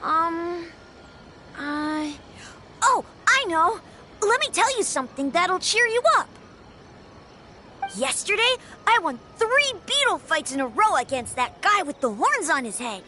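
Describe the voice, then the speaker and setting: A woman's voice: extremely high pitch, sing-song, measured pace, noisy background, full character voice. A woman voicing an earnest young child in a video game, youthful and a little hesitant. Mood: consoling, proud.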